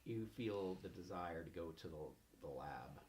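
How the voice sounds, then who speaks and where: A man improvising narration as game master in a halting, conversational way. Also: low voice, expressive, a little mellow, slight background noise. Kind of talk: improvised narration of a tabletop role-playing game.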